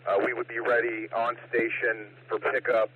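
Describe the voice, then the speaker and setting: A man who is an emergency responder, coordinating haltingly over a two-way radio. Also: mid-range voice, flat delivery, narrow, tinny audio. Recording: clearly noisy police radio transmission.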